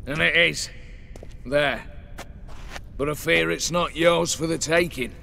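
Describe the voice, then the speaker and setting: An actor playing a smug, embittered schemer out for revenge in a video game, speaking with mocking, theatrical deliberation. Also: male voice, fairly high pitch, takes it slowly, vocal fry, sharp tone, slight background noise, full character voice. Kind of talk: dramatic video game acting.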